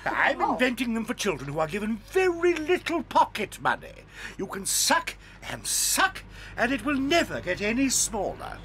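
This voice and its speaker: An actor playing an eccentric, excitable male chocolate factory owner, playful and theatrical, in a studio audio drama. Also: very high voice, normal speed, a little hiss or hum, exaggerated voice. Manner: playful, theatrical, bursts into rhyming chants.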